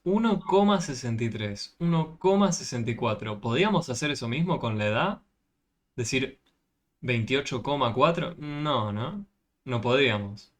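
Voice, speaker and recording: A man's voice: medium pitch, a bit bright. A man tutoring a math class over a video call, patiently explaining and often checking with questions.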